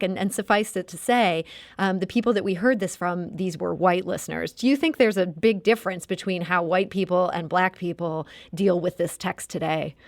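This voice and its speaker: A woman hosting a radio talk show, introducing and interviewing in a measured, articulate way. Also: fairly low pitch, no echo, studio quality.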